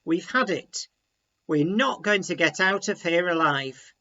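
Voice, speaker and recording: A man's voice: high-pitched, animated, sharp tone, highs cut off, full character voice. Man voicing a weary, whining little storybook frog. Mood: weary, hopeless. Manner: plaintive, drawn-out complaining. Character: weary, defeatist little male frog, complaining.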